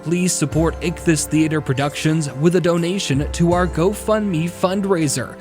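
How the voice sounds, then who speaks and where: A man's voice: on the high side, normal speed, a little mellow. A male announcer reading scripted programme credits and sponsor messages in a showy radio style. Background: music.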